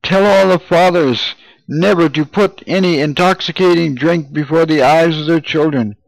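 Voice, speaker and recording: A man's voice: on the high side, moderate pace, creaky, no room sound, poor audio. A man reading aloud as a grieving drunken mother, pleading in old-fashioned speech. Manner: wailing, pleading, archaic speech. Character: grieving drunken mother, anguished, self-accusing.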